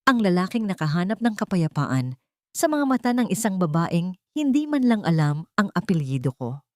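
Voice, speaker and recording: A woman's voice: fairly low pitch, very animated, moderate pace, no room sound, clean studio sound. A woman narrating a scripted romantic audio drama in an emotive, suspenseful storytelling manner.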